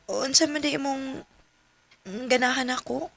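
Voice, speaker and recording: A woman's voice: sits high, fairly even intonation, moderate pace, creaky, fairly bright tone, soft background music. An actress playing a young female student who turns down a classmate, speaking directly. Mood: apologetic. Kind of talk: amateur radio drama acting.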